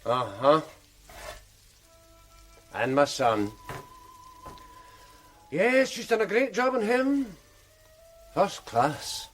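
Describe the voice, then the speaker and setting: A weary, wry detective. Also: male voice, very animated, extremely slow, pauses often, a bit bright, soft background music, full character voice. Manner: tired, wry, low-key. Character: weary middle-aged detective, tired and subdued. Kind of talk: radio drama.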